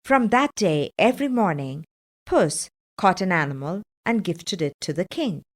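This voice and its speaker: Woman telling a kids fairytale, scripted narration, really unhurried. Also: fairly low pitch, expressive. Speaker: storyteller.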